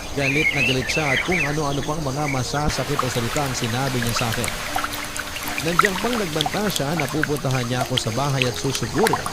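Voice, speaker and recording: Man as medium-pitched narrator of troubled love confessions. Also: lightly acted. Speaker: story narrator. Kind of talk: scripted first-person dramatic narration. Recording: loud music under the voice.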